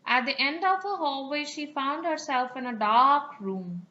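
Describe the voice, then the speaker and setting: A woman narrating a children's fairy tale as an expressive, dramatic storyteller. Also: high-pitched, unhurried, dull audio.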